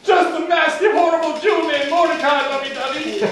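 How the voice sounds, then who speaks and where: A man's voice: extremely high pitch, fairly even intonation, brisk. A man voicing a vain, petulant villain puppet, a henpecked husband who rants and coos in an amateur show. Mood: furious, petulant. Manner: whiny ranting, syrupy endearments. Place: small home gathering, echoey room, far camera. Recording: echoey distant noisy home video.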